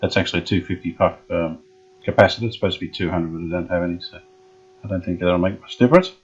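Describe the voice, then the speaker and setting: A low-pitched, slightly husky man works through a radio repair, talking to himself as much as to the viewer. His speech is halting and casual, full of ums and restarts. The recording is dull and lo-fi. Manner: thinking aloud, halting with many ums and uhs. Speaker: radio restorer. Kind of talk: hands-on workshop demonstration.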